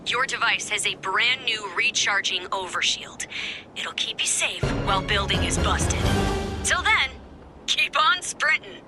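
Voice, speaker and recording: Over loud music comes an actress as a self-assured female resistance fighter from a video game. She gives mission briefings in a mid-pitched voice, cool and commanding, with a dry, wry edge. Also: animated, measured pace, close to an everyday voice. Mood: briskly instructive.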